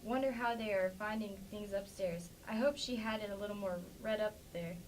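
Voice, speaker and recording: A woman's voice: mid-range voice, normal speed, lightly acted. Woman reading as a plainspoken farm wife, slightly distant. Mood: plain, musing. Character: plainspoken, defensive Midwestern farmer's wife and neighbor. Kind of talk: amateur staged play reading. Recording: distant mic with faint background noise.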